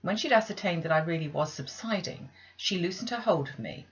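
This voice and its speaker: A woman's voice: on the low side, expressive, brisk, slightly rough, dull audio. A woman reading a classic novel aloud as scripted literary audiobook narration. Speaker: audiobook reader.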